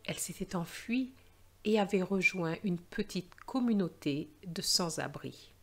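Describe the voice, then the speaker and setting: A woman teacher reading and presenting in a slow, expressive storytelling style for language learners. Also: lowish voice.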